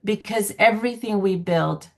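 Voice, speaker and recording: Woman from Guatemala, mid-range voice, presenting online; earnest and measured, slightly hesitant in phrasing. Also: medium pitch, vocal fry, darkish tone. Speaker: public health planning coordinator giving a presentation. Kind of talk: semi-formal online presentation. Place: video call. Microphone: video call microphone.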